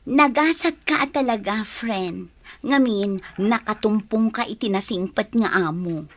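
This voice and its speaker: A woman's voice: mid-range voice, measured pace, lightly acted. A woman playing a friendly, admiring young friend in a radio-style drama, with an Ilocano accent.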